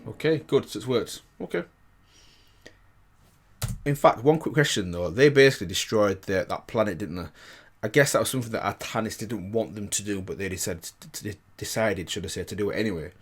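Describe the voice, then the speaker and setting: A man's voice: lowish voice, a little fast, breathy edge. A man hosting a reaction video, commenting casually and thinking aloud, often repeating his phrases. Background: faint game music.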